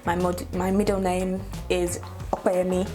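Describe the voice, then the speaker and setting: A woman's voice: lowish voice, little pitch movement, measured pace, warm tone, soft background music. A woman, talking casually to her camera and laughing often as she chats. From Nigeria. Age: 20s. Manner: chatty, laughs often, playful asides. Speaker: YouTuber. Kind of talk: casual get-to-know-me vlog.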